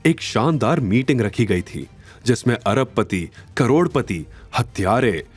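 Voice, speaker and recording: A man's voice: medium pitch, lively intonation, a little slow, slightly rough. A man narrating a scripted audio drama in a dramatic storytelling style.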